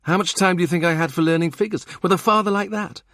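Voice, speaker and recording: A man voicing a brisk, caring young woman. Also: sits high, a bit bright, dry recording, clean studio sound, pitched above the performer's own voice. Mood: coaxing.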